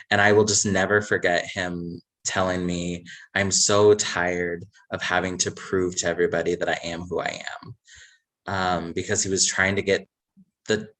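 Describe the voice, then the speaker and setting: Deep-voiced, earnest man on a call. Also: a bit bright, rough recording. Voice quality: earnest, measured, many "uh" pauses. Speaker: charity representative. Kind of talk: casual livestream chat.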